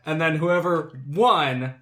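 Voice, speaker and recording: A man's voice: on the high side, slow pace, pushed and bright. A man co-hosting a podcast, telling things in casual chat and often repeating the starts of his phrases. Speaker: podcast co-host.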